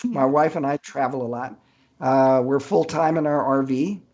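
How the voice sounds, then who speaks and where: A middle-aged or older man speaks as a guest in a relaxed interview. His pitch is average for a man. He takes his time, hesitating now and then, and keeps a good-humored manner. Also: moderate pace, lo-fi sound. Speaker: author guest. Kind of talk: casual interview chat.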